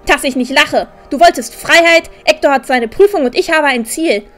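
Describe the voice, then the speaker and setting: An actress playing a proud, sharp-tongued, defiant teenage girl in a fantasy audio drama, with a pointed, haughty manner. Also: high voice, normal speed. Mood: indignant.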